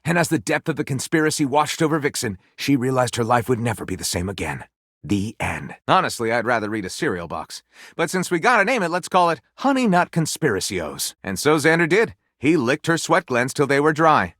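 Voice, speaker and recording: A man's voice: mid-range voice, big pitch swings, fairly quick, a bit bright, no echo, clean studio sound. A man voicing a theatrical, wry storyteller and snide critic in a video game, mock-grandiose and sardonic, as if narrating a storybook. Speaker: voice actor.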